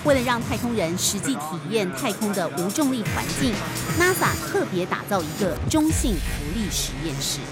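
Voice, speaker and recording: A woman reporter reading a scripted news voice-over in a lively, polished way. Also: medium pitch, fairly quick, few pauses. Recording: voice-over over loud music.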